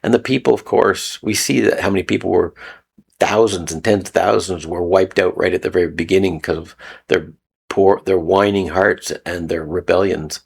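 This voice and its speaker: Gravelly low-voiced man, rambling talk. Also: steady tone, moderate pace. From Canada. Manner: rambling, repeats phrases, chuckles often. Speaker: video host. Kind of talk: casual spoken teaching monologue. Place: lives in Mexico.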